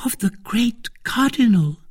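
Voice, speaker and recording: A man voicing an indignant, sharp-tongued young wife in a period novel, scolding and haughty in an exclamatory way. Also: high voice, moderate pace, slightly rough, voice pushed up. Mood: alarmed, incredulous.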